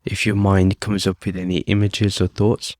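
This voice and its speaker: A man calmly leading a guided meditation as host, speaking off the cuff in short phrases with long pauses. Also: low-pitched, no room sound, studio quality. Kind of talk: guided meditation, unscripted instruction.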